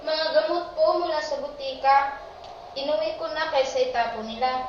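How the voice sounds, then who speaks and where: A woman's voice: sits high, moderate pace, close to an everyday voice. A woman playing a stubborn, impulsive young girl with a petulant delivery in an amateur radio drama. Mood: casual. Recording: very echoey, distant, dull, faintly noisy.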